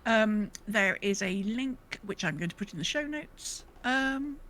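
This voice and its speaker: A woman's voice: medium pitch, lively intonation, measured pace, fairly bright tone. A woman chatting casually as a podcast co-host, rambling and thinking aloud. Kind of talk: casual gaming podcast chat.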